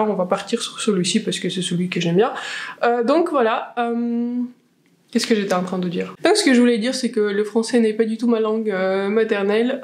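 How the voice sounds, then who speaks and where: Russian-accented woman chatting through makeup. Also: mid-range voice. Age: 20s. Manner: chatty, many fillers and restarts. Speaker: beauty vlogger. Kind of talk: casual chat while doing makeup. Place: small room indoors, plain wall.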